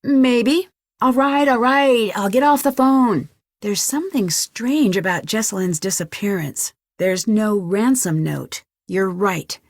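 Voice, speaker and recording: A woman's voice: medium pitch, slow pace, no room sound. Young woman sleuth for a mystery game: mid-range, friendly, earnest, lightly wry, close dry studio sound. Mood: earnest, curious. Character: young female detective, curious, friendly, level-headed. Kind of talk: conversational game dialogue, one side of phone calls.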